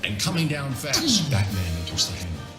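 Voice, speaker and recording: A man's voice: mid-range voice, huge pitch swings, moderate pace, echoey room. A man narrating a dramatised comic script, setting scenes in the present tense. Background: music.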